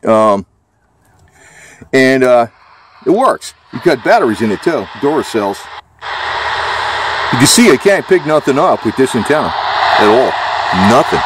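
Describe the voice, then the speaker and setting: A man's voice: mid-range voice, noisy background. A man giving a casual, off-the-cuff product demonstration, talking in a rambling, hesitant, folksy way. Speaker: gadget reviewer.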